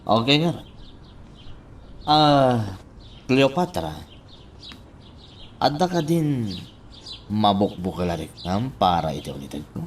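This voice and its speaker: A man's voice: on the low side, animated, takes it slowly, long pauses, a little hiss or hum. A man playing a friendly, curious younger man, a respectful nephew, in a radio drama. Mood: curious, teasing.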